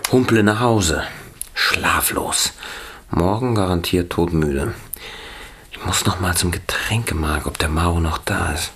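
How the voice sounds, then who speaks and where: A whispering reporter dictating notes. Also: male voice, a little slow, slight background noise. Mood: secretive. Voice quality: low, often whispered. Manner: terse clipped dictation. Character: nosy small-town male reporter, secretive. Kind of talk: radio drama acting. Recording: radio play with loud music.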